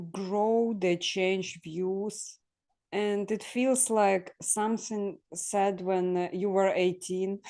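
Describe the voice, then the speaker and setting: A woman host speaks very slowly at a medium pitch in a casual conversation. Her delivery is thoughtful and hesitant, broken into short phrases. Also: a little mellow. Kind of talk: casual discussion.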